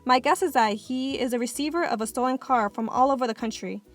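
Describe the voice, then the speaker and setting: A woman acting a kind female teacher, storybook character, high voice, soft, measured, composed. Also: soft background music, natural voice. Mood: matter-of-fact. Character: gentle, caring, composed woman schoolteacher.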